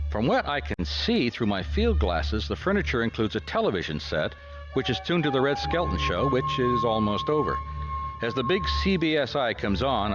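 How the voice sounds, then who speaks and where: An actor with an American accent playing a wry, put-upon 1950s private detective in a radio drama, plain-spoken and a little hesitant. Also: male voice, fairly low pitch, rough recording. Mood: wry narration. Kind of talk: radio drama acting with first-person detective narration.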